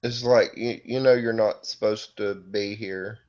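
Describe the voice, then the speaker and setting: A man's voice: on the low side, steady tone, measured pace, a little mellow. A man co-hosting a podcast, tossing in short reactions in a casual chat. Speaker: podcast co-host. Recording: dull lo-fi sound.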